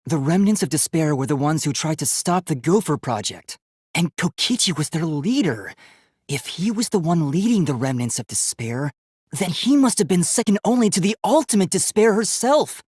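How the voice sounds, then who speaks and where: A man's voice: on the high side, big pitch swings, normal speed, darkish tone, breathy edge, no room sound, studio quality. A man playing an earnest young male robot student in a video game, speaking in a precise, polite and formal way. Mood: insistent.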